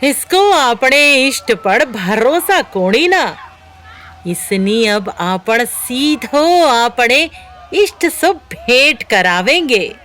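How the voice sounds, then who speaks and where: Slow, cruel Rajasthani village woman acted out. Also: fairly high pitch, very animated, a bit bright, exaggerated voice. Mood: menacing, theatrical. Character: sadistic village woman storyteller, theatrical and cruel. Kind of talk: audio horror drama acting.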